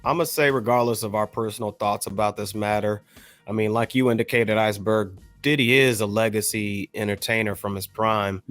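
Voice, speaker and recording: A man co-hosting a casual hip hop discussion, circling around his point in a measured, qualifying way. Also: lowish voice, fairly even intonation, a bit bright, soft background music. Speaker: podcast co-host.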